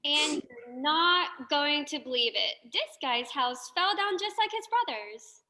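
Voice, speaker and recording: Female reader's theater narrator in reverberant hall, high and bright. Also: animated, slow pace. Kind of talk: scripted reader's theater storytelling. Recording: long echoing hall reverb.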